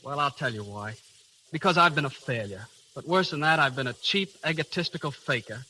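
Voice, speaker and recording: Earnest young waiter in 30s radio play, fast n very bright voice, hissy old recording. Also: male voice, on the high side, lively intonation, low-quality recording. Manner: polite, earnest, stubborn. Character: earnest, humbled young man working as a waiter. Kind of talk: 1930s radio drama acting.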